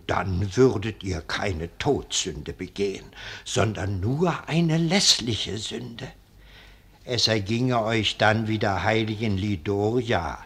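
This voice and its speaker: Husky, slow-speaking ancient abbot. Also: male voice, lowish voice, a bit bright, full character voice. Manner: slow, solemn, archaic clerical speech. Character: ancient, pious abbot, hundred years old, grave and fatherly. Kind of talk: 1940s German radio play acting.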